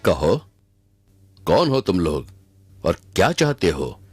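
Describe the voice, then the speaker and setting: Commanding emperor with swooping intonation. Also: male voice, deep voice, sing-song, slow pace, darkish tone, slightly rough, no echo, heavily acted. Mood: regal, questioning. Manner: regal, commanding. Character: authoritative, stately Mughal emperor, stern but fair. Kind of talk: Hindi cartoon voice acting. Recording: music behind the voice.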